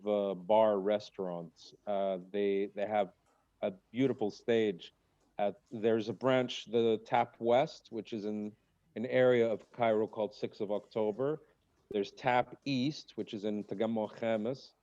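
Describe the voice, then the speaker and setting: Man chatting over muffled call audio. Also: fairly low pitch. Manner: rambling, jokey, lively asides. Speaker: comedian guest. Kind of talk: casual podcast chat.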